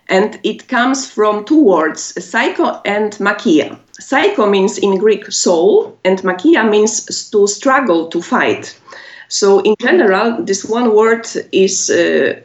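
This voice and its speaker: A woman with a Polish accent, a native Polish speaker, answering interview questions in halting English with many pauses and laughs. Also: mid-range voice. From Poland. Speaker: musician guest. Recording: echoey, muffled lo-fi audio.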